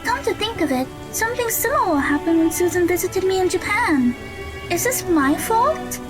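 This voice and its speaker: Woman playing a very high-voiced, concerned teenage girl. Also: sing-song, normal speed, music in the background. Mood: worried, guilty. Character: concerned teenage girl, the runaway's loyal friend. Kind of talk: audio drama acting.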